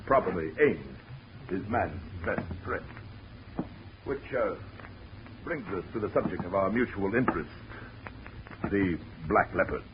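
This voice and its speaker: A florid, pompous big-game hunter villain, smug and quietly menacing, his voice sitting low. It comes through a muffled 1940s radio recording thick with hiss.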